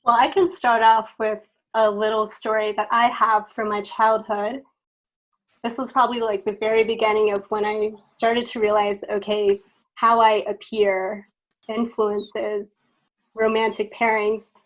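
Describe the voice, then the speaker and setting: A woman in her thirties from the United States, hosting a podcast discussion over a video call from her bedroom in a clear, measured way. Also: mid-range voice, little pitch movement, low-quality recording. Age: 30s. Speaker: podcast host. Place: bedroom at home, indoors. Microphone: video call from home.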